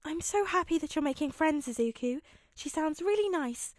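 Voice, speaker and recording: Woman acting a doting, high-voiced fretful mother. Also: animated, moderate pace, dry recording, clean studio sound. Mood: tender, relieved. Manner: gentle, doting. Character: caring, gently fretful mother of a teenage boy.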